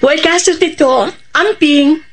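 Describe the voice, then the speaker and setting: A woman's voice: high voice, slow pace. A woman playing a polite young lady doctor in a Filipino Bisaya radio drama.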